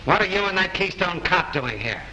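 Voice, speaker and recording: A scornful police lieutenant barks orders with a lively, swooping voice. His tone is bright and a little high. Faint old film hiss runs underneath. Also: moderate pace, exaggerated voice, rough recording. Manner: gruff, scornful and bossy. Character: impatient sarcastic male police lieutenant. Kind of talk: 1930s film acting. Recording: hissy old 1930s film soundtrack.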